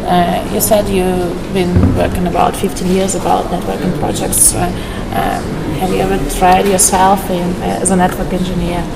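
A Russian woman working as a consecutive interpreter, speaking in a halting way as she searches for words. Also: on the low side, flat delivery, gravelly. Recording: loud vehicle-like ambient noise.

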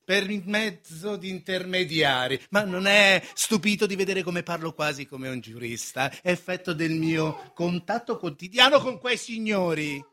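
A worldly, talkative painter of the court in a theatrical radio play. His voice sits high and has a sharp, forceful edge. He speaks with sly self-importance and emphatic, insinuating delivery. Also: lively intonation, a little slow, hardly any pauses, heavily acted. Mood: explanatory, confiding. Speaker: actor. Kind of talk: theatrical radio drama dialogue.

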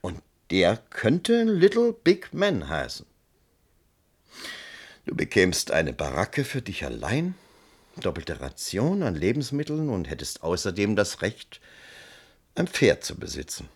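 An actor in a German radio play, playing a cold, authoritative army fort commander with a husky voice and a condescending, official manner. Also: male voice, fairly low pitch, huge pitch swings, slow, exaggerated voice. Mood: patronising, bargaining.

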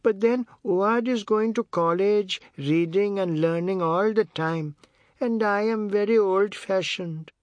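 Slow, plaintive old matriarch. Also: male voice, high voice, dry recording, muffled recording, voice pushed up. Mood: plaintive, resigned. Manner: drawn-out, plaintive delivery. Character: slow, groaning, sighing old matriarch, meek and self-satisfied.

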